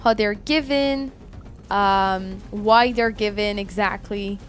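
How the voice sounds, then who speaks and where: A woman's voice: mid-range voice, steady tone, slow, faint music behind. A woman game streamer chatting casually and commenting live on her play. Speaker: game livestreamer.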